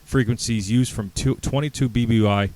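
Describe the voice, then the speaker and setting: A man co-hosting a casual podcast chat, excitable and laughing, who swears freely and sometimes reads notes aloud. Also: medium pitch, moderate pace. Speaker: podcast co-host. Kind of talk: casual chat among friends, reading gift notes aloud. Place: garage.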